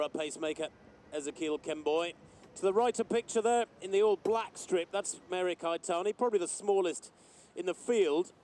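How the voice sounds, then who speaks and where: A man giving live commentary on a half marathon, measured and knowledgeable, pausing often. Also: a little high, darkish tone. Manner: knowledgeable, measured, with frequent uh pauses. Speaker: race commentator.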